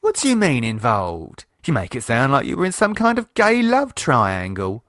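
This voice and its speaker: A man voicing a sharp-tongued wife, talking in a blunt, quick, scolding way. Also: mid-range voice, wildly swooping pitch, dry recording, studio quality.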